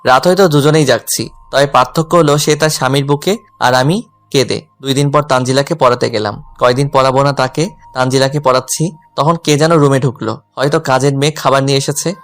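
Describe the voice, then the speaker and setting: A man's voice: medium pitch, steady tone, fast talker, fairly bright tone, natural voice. A man playing a lovelorn, self-effacing young tutor who narrates his own romantic story. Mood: brooding. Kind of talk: scripted first-person romantic story narration with dialogue.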